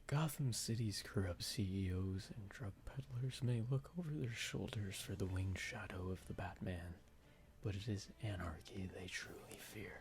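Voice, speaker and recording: A man voicing a menacing vigilante villain in a hushed, ominous manner. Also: sits low, moderate pace, close to an everyday voice.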